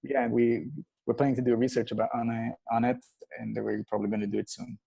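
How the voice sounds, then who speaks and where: A man with a mellow, warm voice at an everyday pitch tells stories in a relaxed interview. He talks haltingly, with plenty of fillers and restarts. He was born in Kenya. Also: gravelly, slightly rough. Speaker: guest acupuncturist. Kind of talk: casual storytelling interview.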